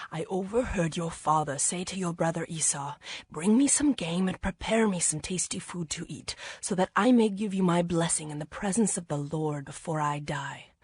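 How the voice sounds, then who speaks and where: Breathy, low-voiced scheming mother. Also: dry recording. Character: Rebekah, scheming, commanding mother of grown sons. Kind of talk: dramatized scripture audio acting.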